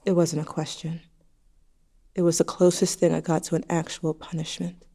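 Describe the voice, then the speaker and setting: A woman's voice: fairly low pitch, level tone, normal speed, warm tone. A young woman playing a grieving, anxious teenager, narrating an intimate, wry diary to a dead sibling in an audio drama. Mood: subdued, tender.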